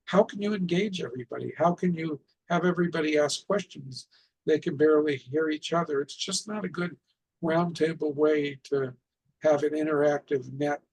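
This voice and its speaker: A middle-aged man presents informally in a fairly high-pitched voice with a lot of gravelly creak. He explains things step by step and often puts questions to his listeners. The tone is husky and rough-edged. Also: low-quality recording. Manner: poses rhetorical questions. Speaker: presenter of an amateur radio club session.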